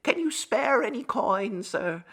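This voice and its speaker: A poor storybook beggar, put on by a man, pleading politely in a raised pitch. The voice swings up and down as he begs. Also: lively intonation, measured pace, fairly bright tone, heavily acted. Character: poor, pleading male beggar with empty pockets.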